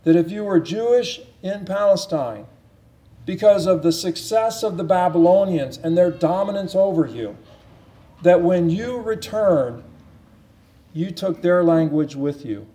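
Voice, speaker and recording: A man's voice: sits high, warm tone. A man preaching a sermon, teaching through a Bible passage with earnest, repeated emphasis and frequent restarts. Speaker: pastor.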